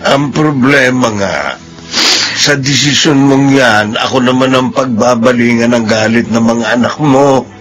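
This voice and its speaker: A man's voice: medium pitch, measured pace, fairly bright tone, faint music behind, close to an everyday voice. A man playing a troubled, pleading husband and father in a radio drama, speaking earnestly. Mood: pleading, distressed.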